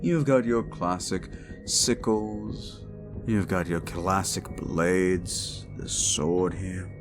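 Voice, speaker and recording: A man playing a hulking sadistic slasher killer in a horror roleplay audio, gloating and menacing, savouring each pause and dropping into whispers. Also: sits low, fairly even intonation, over music, full character voice. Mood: threatening menace.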